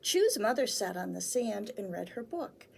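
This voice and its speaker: A woman hosting a children's storytime, talking and reading aloud in simple, deliberate phrases for young listeners. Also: mid-range voice, very animated, vocal fry, slight background noise. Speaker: children's librarian, storytime host. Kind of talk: picture-book read-aloud for small children.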